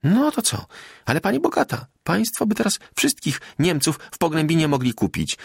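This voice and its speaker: A man's voice: fairly high pitch, huge pitch swings, moderate pace, creaky, slightly rough, no echo, studio quality, pitched above the performer's own voice, full character voice. A man reading an audiobook, voicing a kind-hearted, bossy peasant wife who talks garrulously and breathlessly in rustic dialect. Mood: excited, gushing. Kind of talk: literary audiobook character voice.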